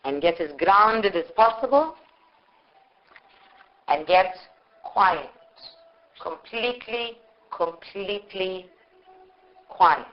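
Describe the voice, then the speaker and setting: A woman leading a guided meditation in short, measured phrases with long pauses, on a live recording. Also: mid-range voice, lively intonation, highs cut off. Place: live group meditation session.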